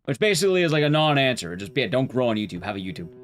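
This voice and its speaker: A man's voice: mid-range voice, big pitch swings, racing. A man streaming a game, chatting casually in a rambling, blunt, conversational way with his viewers. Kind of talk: casual live-stream chat while gaming.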